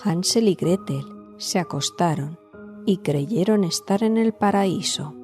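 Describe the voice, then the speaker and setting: A woman narrating a scripted fairy tale as a storyteller, in a measured, dramatic way. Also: lowish voice, warm tone, faint music behind.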